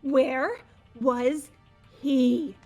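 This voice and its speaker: Very high-pitched crazed creepy killer girl. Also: fairly even intonation, drawn-out words, darkish tone, faint music behind, full character voice. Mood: obsessive. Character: crazed, creepy, leering female killer, giddy and obsessive. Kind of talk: amateur voiced video game playthrough.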